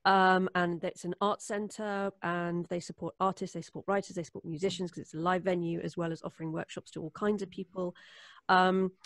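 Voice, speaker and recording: A woman's voice: on the low side, little pitch movement, a little fast, dry recording, studio quality. A woman with an English accent, a performer, talking casually and informally to viewers on a live stream. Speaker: voice actor and podcast performer. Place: indoors at home, remote group call.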